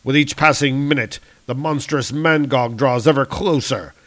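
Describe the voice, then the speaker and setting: A man's voice: mid-range voice, moderate pace, a bit bright, close to an everyday voice. A man voicing a noble, righteous thunder god in a comic-book story, with solemn declamatory archaic speech. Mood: solemn defiance.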